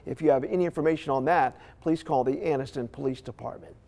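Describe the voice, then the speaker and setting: A man's voice: a little high, quick pace, creaky, dark tone. An American man working as a morning TV news anchor, reading stories and doing live interviews in broadcast style.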